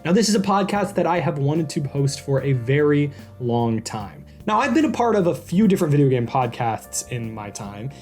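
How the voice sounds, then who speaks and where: A man's voice: a little high, very animated, moderate pace, a little mellow, soft background music. A man speaking as a podcast host, introducing things to listeners in an informal, upbeat and enthusiastic way.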